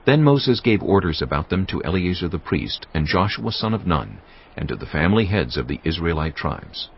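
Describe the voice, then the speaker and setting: A man narrating scripture in a steady, measured storytelling voice. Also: deep voice, a little hiss or hum. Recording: dull lo-fi recording with music behind.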